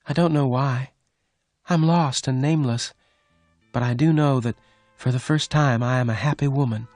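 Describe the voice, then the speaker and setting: A man voicing a gentle, lonely young woman who speaks softly and sadly. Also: medium pitch, normal speed, no echo, faint music behind, voice pushed up, close to an everyday voice. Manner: soft, sad.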